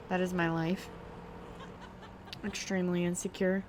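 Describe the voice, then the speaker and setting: A young woman talks in a slightly low voice, stopping and restarting often as she plays a game. She is recorded in her bedroom with a faint background hiss. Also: darkish tone. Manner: hesitant, lots of ums and restarts, self-deprecating; talks while playing a driving video game. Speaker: vlogger. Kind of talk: casual personal story time.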